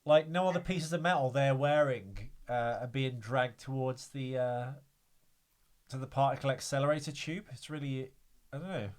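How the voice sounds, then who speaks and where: A man's voice: medium pitch, normal speed. A man hosting a podcast in casual chat, hesitant and full of fillers, with a frog in his throat. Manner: hesitant, many fillers and "I don't know". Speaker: podcast host.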